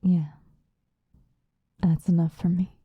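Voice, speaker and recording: A woman playing an obsessive, possessive lovesick woman in an intimate, whispered ASMR roleplay, with a breathy voice. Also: on the low side, level tone, dry recording, phone-quality sound, heavily acted. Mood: possessive, resigned. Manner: slow, intimate, pleading.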